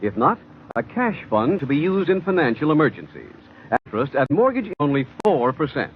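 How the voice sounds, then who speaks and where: A man's voice: on the high side, sing-song, brisk, slight background noise. An American man working as a polished, formal announcer and sponsor spokesman in 1950s radio style. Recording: tinny vintage radio broadcast, faint music.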